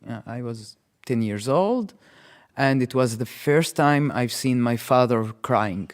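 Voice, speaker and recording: Halting man. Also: mid-range voice, lively intonation. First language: Arabic. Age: 30s. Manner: halting, repeats words as he thinks. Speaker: interview guest. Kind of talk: reflective personal storytelling.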